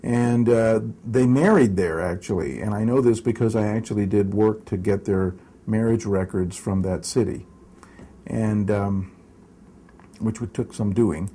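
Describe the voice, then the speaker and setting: Gravelly older man, rambling and wry. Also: fairly low pitch, a little slow, dark tone. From United States, raised in Southern California. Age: 60s. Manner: rambling, hesitant with many fillers and restarts; wry, self-mocking humor. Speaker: interviewee. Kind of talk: oral history interview.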